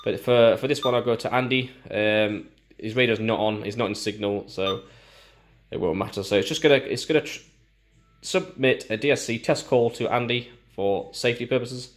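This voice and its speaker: A man talks through a piece of gear at a medium pitch. He explains it informally and step by step, pausing and restarting as he demonstrates each part. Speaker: gear reviewer. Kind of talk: casual hands-on product walkthrough.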